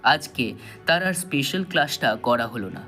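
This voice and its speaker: A man's voice: medium pitch, expressive, moderate pace, pushed and bright. A man narrating a scripted thriller story in a dramatic, suspenseful storytelling manner. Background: background music.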